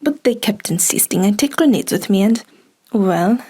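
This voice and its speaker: A woman's voice: medium pitch, animated, moderate pace, dark tone, slightly rough. A woman playing an eager, naive and clumsy female assassin in an audio drama, earnest and dutiful. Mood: sheepish.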